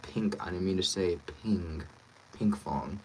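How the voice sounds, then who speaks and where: A man's voice: sits low, unhurried, darkish tone, breathy edge, rough recording. A man chatting casually and friendly with viewers as a voice actor on a livestream, thinking aloud as he goes.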